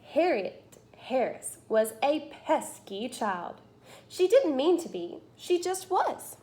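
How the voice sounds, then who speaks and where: A woman teacher reading a picture book aloud to children, with expressive, emphatic storytelling and drawn-out phrases. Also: high voice.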